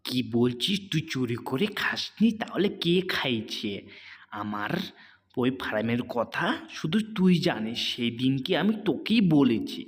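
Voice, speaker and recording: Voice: mid-range voice, big pitch swings, unhurried. An actor voicing a hot-tempered, bullying male tiger in a cartoon animal story, ranting in blustering, repeated threats. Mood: accusing, angry. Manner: blustering, repetitive threatening tirades. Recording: long hall-like reverb on the voice.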